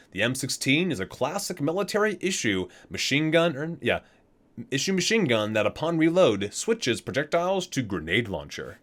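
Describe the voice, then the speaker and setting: Man with animated swings reading aloud, ASMR-style. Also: medium pitch. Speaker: video host reading a game guidebook.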